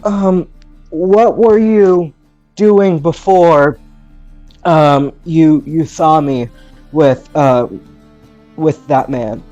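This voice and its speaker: A woman playing a tabletop roleplay game, speaking casually and hesitantly, with halting starts. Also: low-pitched, warm tone, muffled recording, soft background music. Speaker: game player.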